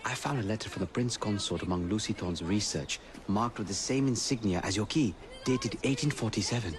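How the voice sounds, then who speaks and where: An actor playing an earnest, courteous Victorian gentleman ally in a video game cutscene. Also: on the low side, brisk, lightly acted. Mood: informative, earnest.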